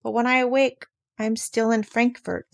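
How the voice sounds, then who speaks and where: A woman's voice: on the high side, flat delivery, normal speed, creaky, voice pushed up. Woman doing a timid homesick little girl. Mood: homesick, tearful. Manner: small, hesitant, tearful. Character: young girl, homesick and frightened.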